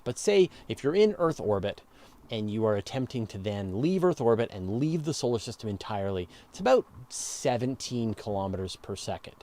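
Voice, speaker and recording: A man's voice: on the low side, warm tone. A man hosting a casual live question-and-answer show, explaining step by step in a conversational way and stressing key words. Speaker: host of a live space and astronomy Q&A show.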